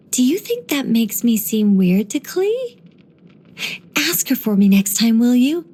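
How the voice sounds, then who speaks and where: A woman voicing a gentle, wise young angelic woman in game voice lines, soft and wryly musing. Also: on the high side, big pitch swings, slow, heavily acted.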